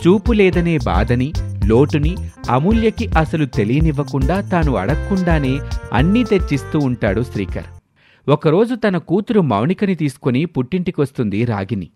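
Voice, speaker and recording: A man's voice: on the high side, very animated, moderate pace, darkish tone, faint music behind. A man narrating a scripted moral story as a storyteller.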